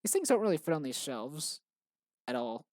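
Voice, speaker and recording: A man making a game who rambles in casual commentary while he works, in a tired, monotonous, deadpan voice. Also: moderate pace, no echo. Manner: tired, low-energy. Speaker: game developer vlogger.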